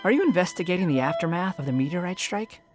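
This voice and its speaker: Lilting, slyly polite young wanderer. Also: male voice, sits high, expressive, fast, over loud music. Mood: smooth, amused. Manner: smooth-talking, politely amused. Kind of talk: game character acting.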